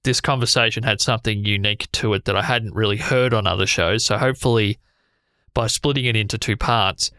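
A man's voice: fairly low pitch, fairly even intonation, fairly quick, sharp tone, no echo, clean studio sound. A man hosting a podcast, chatting enthusiastically and laughing easily as he introduces his guest. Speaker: podcast host. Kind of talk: casual podcast intro and interview.

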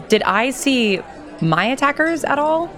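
A woman's voice: high-pitched, big pitch swings, slow, a bit bright, soft background music. A woman playing a tabletop game, chatting casually and playfully about what her character does.

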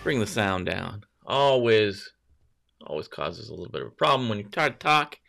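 A man's voice: on the low side, big pitch swings, normal speed, gravelly, pushed and bright, soft background music. A man hosting a casual morning livestream, greeting viewers by name and rambling loosely while correcting himself. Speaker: livestream host.

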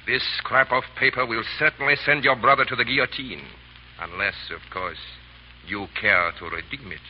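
An actor playing a coolly menacing French agent and blackmailer in 1930s radio drama, insinuating, deliberate and commanding. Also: male voice, mid-range voice, lightly acted. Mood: threatening, commanding. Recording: dull, noisy 1930s radio recording.

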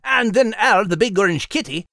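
Gravelly, plucky Scottish dog. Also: male voice, high voice, animated, normal speed, sharp tone, no echo, clean studio sound, voice pushed up, full character voice. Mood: jaunty, teasing. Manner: folksy, blunt. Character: plucky, plain-spoken, kindly Scottish male dog. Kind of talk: audiobook character acting.

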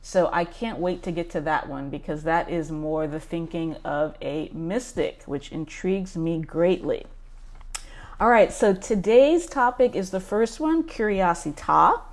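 A woman's voice: on the low side, a little slow. A woman hosting a book club podcast, talking through a list in a casual, enthusiastic way with frequent ums.

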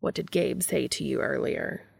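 A weary, earnest young man in an audiobook story, played by a woman, pitched low and soft. He speaks plainly and a little awkwardly, with a gravelly edge. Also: moderate pace, heavily acted. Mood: weary, gentle.

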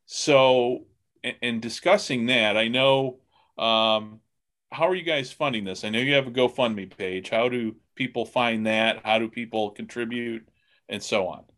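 A man with a mid-range voice hosts a podcast interview. He talks casually and folksily, rambling a little as he thinks out loud. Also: medium pitch, unhurried. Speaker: podcast host interviewing guests. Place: remote call on a computer.

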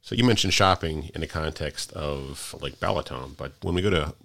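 A man hosts the interview, asking his questions politely and at a measured pace. His voice is extremely deep and full of vocal fry. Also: a bit bright.

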